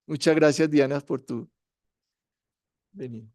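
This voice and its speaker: A man's voice: on the high side, a little slow, darkish tone, no echo, clean studio sound. A man hosting a university seminar, giving a formal, courteous welcome with hesitant fillers. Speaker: university faculty host introducing guests.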